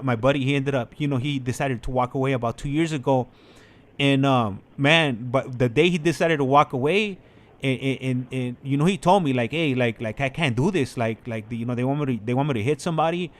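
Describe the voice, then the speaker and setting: An American man shares personal stories in a loose, conversational way. He rambles and circles back, repeating words and leaning on filler phrases as he goes, at a medium pitch. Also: fairly bright tone. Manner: rambling, repetitive, peppered with "like" and "you know". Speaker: online channel host. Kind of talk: casual chat, telling personal stories.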